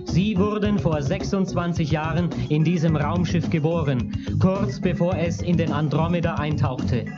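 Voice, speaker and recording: A man's voice: a little high, normal speed. A man giving scripted narration for a radio play. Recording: dull cassette under loud music.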